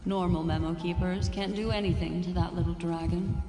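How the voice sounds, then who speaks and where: Composed, analytical female game character, played by a woman: lower register, deliberate and knowing, long reverb, music underneath. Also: fairly even intonation, natural voice. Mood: calm, reassuring. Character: composed, self-assured woman, calmly analytical. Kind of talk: anime game voice acting.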